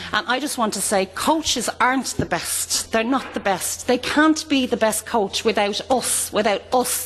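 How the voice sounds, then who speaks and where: A woman giving impassioned public testimony as a panel speaker. She speaks emphatically, with repeated phrases and rhetorical questions. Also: medium pitch. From Ireland. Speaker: panel speaker, abuse survivor. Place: large conference hall. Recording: long hall reverb.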